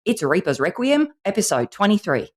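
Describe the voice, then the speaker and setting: Giggly Aussie nature-doc host, a woman putting it on: lowish, very lively delivery. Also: fairly quick. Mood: playful. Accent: put-on Australian. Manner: giggly, theatrical narration with frequent laughs. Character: over-the-top female wildlife documentary host, bubbly. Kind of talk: comic parody of a nature documentary intro.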